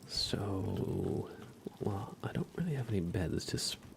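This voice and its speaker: Male ASMR gaming streamer: very low, fry-heavy voice, soft and unhurried, musing commentary. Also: expressive, background noise. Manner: hushed, unhurried, musing aloud. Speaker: live streamer.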